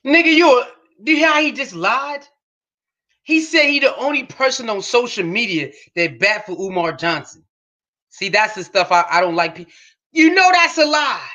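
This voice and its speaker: A man hosting a reaction show, commenting on a podcast clip with indignant rhetorical questions and bursts of shouting. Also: extremely high pitch.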